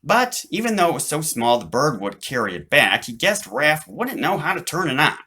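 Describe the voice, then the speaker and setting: Bright-voiced man narrating a children's story. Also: fairly high pitch, very animated, normal speed, slightly rough. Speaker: author reading own book.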